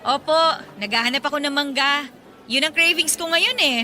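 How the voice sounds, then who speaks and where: Bright, high-voiced sassy young Filipina. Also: female voice, normal speed. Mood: cheerful. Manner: sassy, exclamatory, teasing. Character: sassy single young woman, teasing but polite to elders. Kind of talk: Tagalog radio drama acting.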